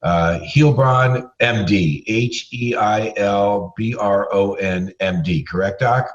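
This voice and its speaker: A man's voice: lowish voice, slow pace, highs cut off. A man co-hosting a radio show in a conversational style.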